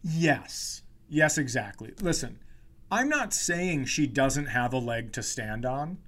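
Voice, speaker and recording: A man's voice: mid-range voice, lively intonation, slow pace, fairly bright tone. A man in his forties telling comic stories as a podcast host, in an exasperated, profane, ranting manner. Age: 40s. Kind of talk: comedic storytelling in casual chat.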